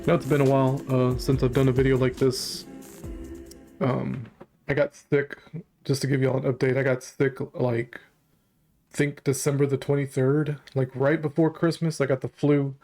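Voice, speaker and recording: A man talks to camera in a loose, rambling way, stopping for ums and restarting his sentences, with a bit of leftover congestion and a slight cough. His pitch stays flat, and his tone is soft and mellow rather than bright. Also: faint music behind. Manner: rambling, halting delivery with frequent ums and restarts, casual swearing. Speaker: commentary channel host. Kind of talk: casual reaction commentary to camera.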